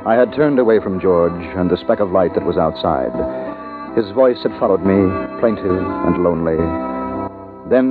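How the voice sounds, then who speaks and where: An actor playing a wistful, courteous grown man narrating his boyhood memories in measured, literary 1950s radio style. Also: sits low, phone-quality sound, close to an everyday voice. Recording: band-limited 1950s radio recording, loud music.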